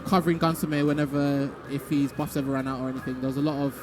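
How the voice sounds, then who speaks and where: Rapid, loose stream host, man. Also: medium pitch, steady tone, over music. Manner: rapid and loose, with many fillers and restarts. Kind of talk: casual live-stream interview.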